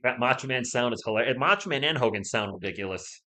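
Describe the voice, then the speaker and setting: A man's voice: medium pitch, animated, fast talker. Chatty man hosting a live stream. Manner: chatty, talks to chat, loud bursts when testing. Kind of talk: casual live-stream chat. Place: home streaming setup, indoors. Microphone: laptop or desk mic.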